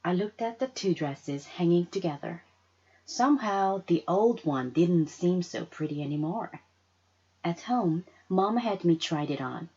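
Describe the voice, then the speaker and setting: A woman reading a children's story aloud as an expressive narrator. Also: lowish voice, a little slow, breathy edge, highs cut off. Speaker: storybook reader.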